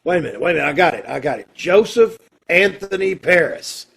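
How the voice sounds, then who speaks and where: Man acting a gravelly, husky condemned prisoner. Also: a little high, animated, fairly bright tone, heavily acted. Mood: excited, urgent. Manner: hesitant, halting, folksy and informal. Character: condemned male prisoner, kindly, earnest, protective. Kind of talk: old-time radio play acting.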